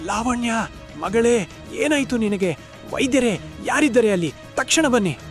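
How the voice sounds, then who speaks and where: A man's voice: very high-pitched, expressive, normal speed, faint music behind. A man playing an imperious king in a cartoon, theatrical and dramatic. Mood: panicked. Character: arrogant king, later a distraught remorseful father.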